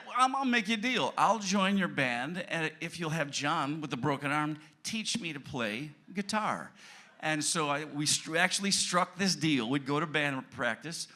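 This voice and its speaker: Bright-voiced man telling a chuckling tale. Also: fairly high pitch. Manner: self-mocking, chuckling anecdotes. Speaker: band member, lead singer. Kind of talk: storytelling on a panel. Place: cruise ship event room before an audience. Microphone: handheld microphone.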